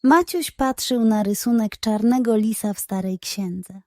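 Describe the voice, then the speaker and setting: A woman's voice: mid-range voice, a little slow, darkish tone, dry recording, clean studio sound. A woman narrating a scripted children's bedtime story, telling it carefully and expressively. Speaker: narrator of children's audio stories.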